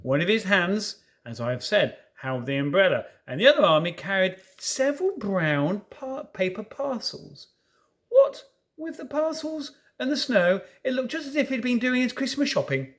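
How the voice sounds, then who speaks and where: A man reading a children's story aloud in an expressive, dramatic way, with playful asides. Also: sits high, normal speed. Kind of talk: animated storybook narration.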